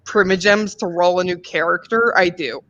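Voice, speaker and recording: A woman's voice: fairly low pitch, moderate pace, a bit bright. A woman co-hosting a podcast, chatting casually about video games in a giggly, self-deprecating way. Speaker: podcast co-host. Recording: slightly dull, lo-fi audio.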